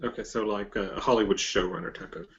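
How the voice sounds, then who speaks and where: A man hosting a casual interview, putting his questions in a tentative, halting way. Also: fairly low pitch, expressive, vocal fry, dull audio. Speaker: interviewer, host.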